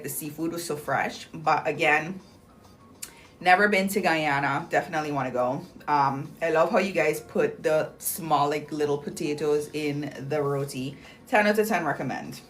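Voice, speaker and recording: A woman's voice: low voice, a little hiss or hum. Chatty, slangy young woman livestreaming. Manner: chatty and bubbly, slangy, lots of "like" and "um". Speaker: livestream host promoting clinic deals. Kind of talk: casual livestream chat, reading viewer comments.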